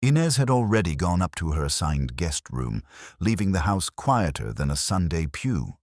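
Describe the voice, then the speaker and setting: Very deep male detective narrator. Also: sing-song, moderate pace, dry recording, studio quality. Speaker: audiobook narrator. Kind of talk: first-person hardboiled detective story narration.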